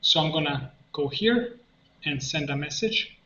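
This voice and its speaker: A man giving an unscripted screen-share software tutorial, explaining step by step in a halting way. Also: a little high, lively intonation. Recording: muffled roomy mic with faint noise.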